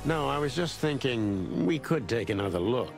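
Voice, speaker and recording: An actor playing a world-weary, terse rooster detective in a film-noir adventure game. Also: male voice, medium pitch, animated, normal speed, creaky, music in the background. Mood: grim, firm. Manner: terse, hard-boiled, dry.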